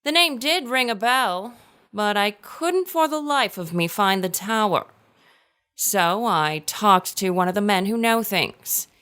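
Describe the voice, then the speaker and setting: A woman's voice: mid-range voice, animated, unhurried, a bit bright, clear, resonant tone. A woman playing a witty, worldly sister reading her letter aloud in a period radio drama, arch and articulate. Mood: wry, confiding.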